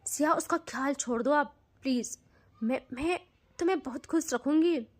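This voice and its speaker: A woman's voice: high voice, moderate pace, natural voice. A woman voicing a hot-tempered, possessive young woman who talks intensely and stammers when she pleads. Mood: coaxing.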